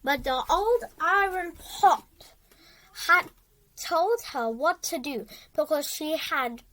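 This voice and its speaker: A child reading a storybook aloud with dramatic emphasis, halting and repeating words along the way. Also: high voice, animated, bright, forceful tone.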